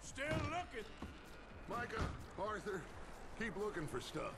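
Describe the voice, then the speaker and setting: An actor playing a gruff, commanding American outlaw in a Western video game, with terse delivery. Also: male voice, high-pitched, big pitch swings, a little slow, heavy background noise, heavily acted. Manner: terse, giving orders.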